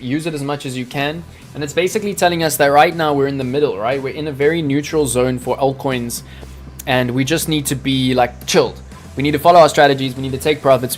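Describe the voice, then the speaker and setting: A man hosting a live crypto trading stream, talking to his chat in a hyped, salesy way. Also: medium pitch. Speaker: crypto trading livestream host.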